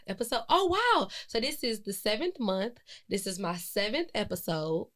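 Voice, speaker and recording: A young woman in her twenties talks through a solo podcast episode in a loose, reflective style. She rambles a little, corrects herself, and fills pauses with "um" and "like". Her voice sits at an ordinary middle pitch. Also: lively intonation, fairly bright tone. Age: 20s. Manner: chatty and reflective, with frequent fillers and self-corrections. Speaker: podcast host. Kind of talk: casual solo podcast monologue.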